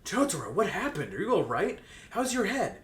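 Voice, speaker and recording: A man's voice: high-pitched, wildly swooping pitch, brisk, fairly bright tone, pitched above the performer's own voice, heavily acted. A man voicing a worried, caring young male friend in a comedic fan fiction reading, anxious and emphatic. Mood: concerned. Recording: roomy echo, noisy background.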